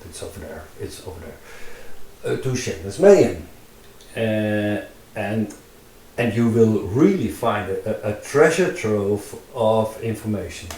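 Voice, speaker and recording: Slow, halting Dutch man, roomy and distant. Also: on the low side, darkish tone. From Netherlands. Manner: halting, many fillers and restarts, thinks aloud while working. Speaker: VR artist. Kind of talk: casual talk while drawing in VR.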